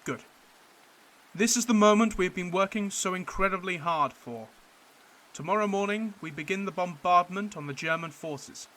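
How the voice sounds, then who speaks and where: An actor playing a stern army officer in a radio war drama, speaking with authority and firm emphasis. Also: male voice, high voice, expressive, normal speed, pushed and bright. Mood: commanding, resolute. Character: stern, commanding male army officer briefing troops.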